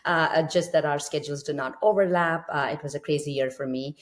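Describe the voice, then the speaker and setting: A middle-aged woman with a notably low, deep voice. She talks in a measured, earnest way, reflecting on her own path as an interview guest. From India. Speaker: guest, children's author. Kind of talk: casual interview storytelling.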